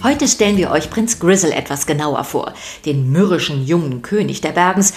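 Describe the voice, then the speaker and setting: Woman, lowish pitch, scripted children's podcast narration, expressive storyteller. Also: normal speed, faint music behind.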